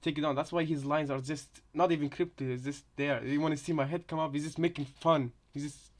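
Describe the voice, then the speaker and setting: A man casually commenting on game voice lines as a co-host, rambling and circling back over his words in a halting way. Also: fairly high pitch, steady tone. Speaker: reaction video co-host.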